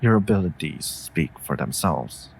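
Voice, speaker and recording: A man voicing a calm, loyal wolf familiar with dry humour in a fantasy audio drama, talking in a measured, wry, reassuring way. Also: rumbling low, creaky, slight background noise, exaggerated voice. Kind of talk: scripted roleplay audio drama addressed to listener.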